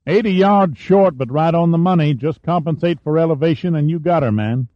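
A man's voice: a little high, a little fast, dry recording, voice pushed up, lightly acted. A man voicing a brash male gunner who talks in casual slang. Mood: cocky. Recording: dull, dry studio sound.

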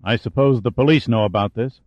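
A man's voice: mid-range voice, fairly quick, no echo, close to an everyday voice. Man as a brusque, commanding admiral, clipped delivery, muffled dry sound. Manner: brusque, barking orders. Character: commanding, short-tempered older naval admiral. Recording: dull, muffled dry recording.

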